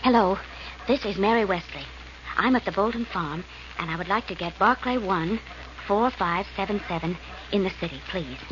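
A woman's voice: medium pitch, normal speed, close to an everyday voice. An actress playing a plucky, teasing young woman in a 1940s radio drama, pert and playful. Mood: polite. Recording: muffled, noisy old radio recording.

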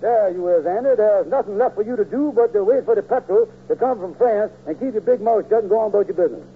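An actor playing a folksy, blustering, wheedling small-time schemer in a 1940s radio comedy. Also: male voice, high-pitched, fast, close to an everyday voice. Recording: scratchy, narrow old radio recording, hum.

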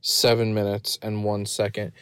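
A man giving a rambling, deadpan and self-mocking running commentary from a parked car, recorded on his phone. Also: on the low side, normal speed, smooth, dry recording, studio quality. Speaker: podcast host. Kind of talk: rambling off-the-cuff monologue. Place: parked car in a store parking lot.

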